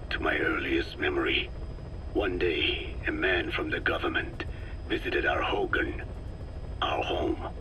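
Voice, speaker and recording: A man playing a very old, solemn Navajo scientist in a video game, speaking slowly and formally with a breathy, whispery voice full of regret. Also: extremely low pitch, noisy background. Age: older. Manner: slow, solemn, measured, formal. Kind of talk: dramatic game dialogue, reflective monologue.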